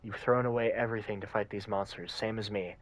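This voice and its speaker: Guy reading desperate young hero guy, low voice, pushing hard. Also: steady tone, fast, dry recording, close to an everyday voice, low-quality recording. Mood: urgent. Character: determined young man fighting spirits.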